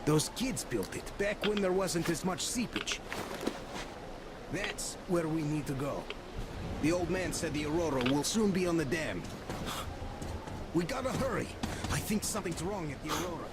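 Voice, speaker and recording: Loud rain and ambience surround a rugged soldier comrade voiced by a man from a video game. His voice sits a bit high for a male. He talks in a plain, colloquial way with urgency and concern for his companion. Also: lively intonation, moderate pace, pauses often, very noisy, natural voice. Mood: grave, urgent. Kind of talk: video game cutscene acting.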